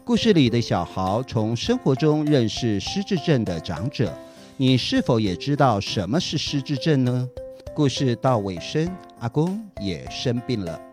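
A man's voice: medium pitch, very animated, unhurried. A man presenting a scripted closing narration for young listeners. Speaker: programme presenter, school principal. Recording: guitar music behind the voice.

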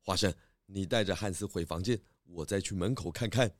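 A man playing a calm, polite pig detective who speaks in a measured, thoughtful way. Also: low voice, no echo, clean studio sound, natural voice. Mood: gentle, inquisitive.

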